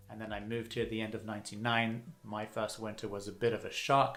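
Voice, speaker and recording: A man's voice: fairly low pitch, a bit bright. A man in his forties from England, with an English accent and a slight Canadian twang, giving casual, friendly advice to camera as a real estate broker. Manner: friendly and chatty, with some fillers.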